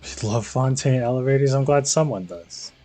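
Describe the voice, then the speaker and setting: A man's voice: mid-range voice, fairly quick, mellow, faint music behind. A young man giving casual, slangy live commentary on a game as he talks to chat. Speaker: game livestreamer.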